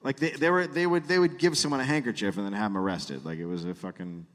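A man hosting a live comedy podcast, telling a story in a halting way with repeated phrases. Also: fairly low pitch, fairly even intonation, fairly bright tone. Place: live show in a hall. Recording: live hall reverb.

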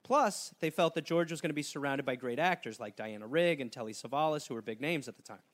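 A man's voice: a little high, fast. A man hosting a comedy podcast, telling a story in a jokey way and slipping into silly voices. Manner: animated, jokey, slips into silly put-on voices. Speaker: podcast host.

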